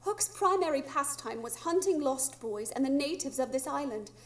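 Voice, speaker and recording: Woman as patient English lady, high pitch, prim, precise, understated, radio drama. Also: animated, normal speed. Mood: wistful, explanatory. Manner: proper, understated. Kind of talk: live radio drama acting.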